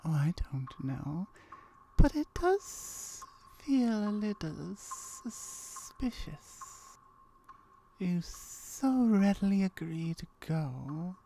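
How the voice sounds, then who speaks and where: Aloof female gorgon for ASMR roleplay, played by a woman: very slow drawn-out murmur, dark mellow tone, slyly flirtatious. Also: on the low side, very animated, slight background noise, heavily acted, low-quality recording. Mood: wary, suspicious.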